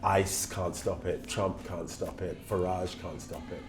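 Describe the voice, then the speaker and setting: Very deep-voiced man, emphatic, over music. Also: flat delivery, unhurried. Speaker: writer and actor presenting own film project. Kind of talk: promotional talk to camera. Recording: music under the voice. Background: loud background music.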